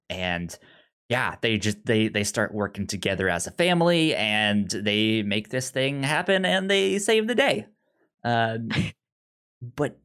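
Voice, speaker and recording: A man co-hosting a podcast, discussing a film casually in a halting way, often stammering and repeating words. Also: fairly low pitch, a bit bright. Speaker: podcast co-host.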